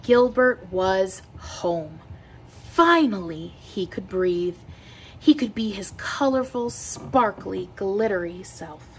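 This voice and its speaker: A woman reading a children's picture book aloud with expressive, deliberate storytelling delivery. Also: medium pitch, a little hiss or hum, poor audio. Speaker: read-aloud teacher.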